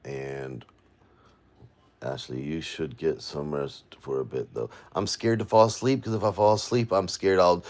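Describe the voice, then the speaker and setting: A tired man talks low and deep, his voice sitting at the bottom of the range. He rambles on, venting to his viewers in a loose, worn-out way during a late-night livestream from his home. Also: fairly even intonation, a little slow, darkish tone. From Florida, USA. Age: 40s. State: exhausted. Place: at home, indoors.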